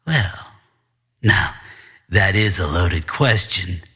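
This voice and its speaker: A man voicing a sinister, evasive male principal in a noir audiobook, measured and condescending. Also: low voice, expressive, sharp tone, breathy edge, pitched below the performer's own voice, full character voice. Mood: coolly menacing.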